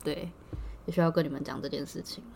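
A woman with a Taiwanese Mandarin accent chatting casually, giving gentle, reassuring advice. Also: deep voice, steady tone, fast, gravelly, crowd noise. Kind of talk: casual chat. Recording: faint background ambient noise.

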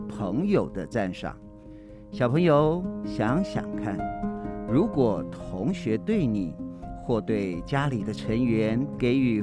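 A middle-aged man reading a scripted closing message to young listeners as host. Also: mid-range voice. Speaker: host giving closing commentary. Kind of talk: scripted address to children.

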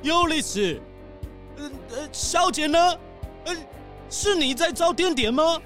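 A performer voicing an excitable, theatrical rich male tycoon in a children's audio drama, with soft music underneath. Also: high-pitched, unhurried. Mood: flustered. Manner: animated, exclaiming, theatrical.

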